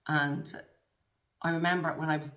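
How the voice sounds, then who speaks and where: A woman's voice: sits low, flat delivery, normal speed, a bit bright, echoey room. A woman in her forties with an Irish accent, a guest talking earnestly and encouragingly in a conversational interview, with frequent restarts. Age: 40s.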